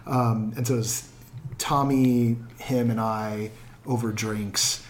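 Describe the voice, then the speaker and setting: A man's voice: medium pitch, fairly even intonation, slow, a little hiss or hum. A man hosting a podcast in casual chat, rambling and self-deprecating with many restarts. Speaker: podcast host.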